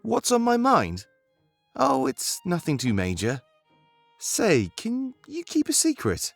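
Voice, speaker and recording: A man's voice: a little high, huge pitch swings, slow, gravelly, no echo, faint music behind, full character voice. A man voicing an earnest rebel guide in a visual novel game, conscience-stricken but with a playful streak, talking in a musing, conversational way.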